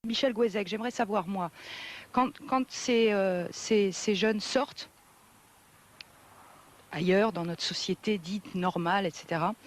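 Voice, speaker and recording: A woman hosting a conversational interview, politely and gently prompting in formal address. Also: mid-range voice. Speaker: interviewer, host.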